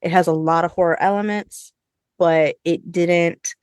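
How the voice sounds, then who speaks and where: A woman hosting a podcast, chatting casually with co-hosts in an informal, expressive way with some swearing. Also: on the low side, a little slow, clean tone, no room sound, clean studio sound. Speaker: podcast host. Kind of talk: casual review chat with co-hosts.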